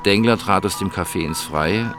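A man's voice: deep voice, normal speed, music in the background. A man narrating a scripted radio drama.

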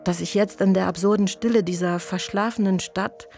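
A woman's voice: mid-range voice, steady tone, normal speed, vocal fry, darkish tone, soft background music, close to an everyday voice. A woman playing a world-weary, reflective actress in a confessional radio-play monologue. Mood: brooding, intense.

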